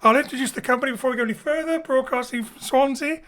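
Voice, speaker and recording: A man's voice: very high voice, fast talker, a bit bright. A man speaking as host and director, giving an informal, explanatory introduction from a home studio. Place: home studio, remote link.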